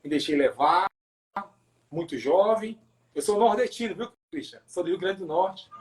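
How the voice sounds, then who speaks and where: Man, high voice, northern Brazilian accent, pastor giving personal testimony, halting delivery, repeated words. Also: animated. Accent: northern Brazilian, from Pará. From Pará, Brazil. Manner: halting, repeats words, frequent tag questions. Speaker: pastor, podcast guest. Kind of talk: personal testimony in casual interview.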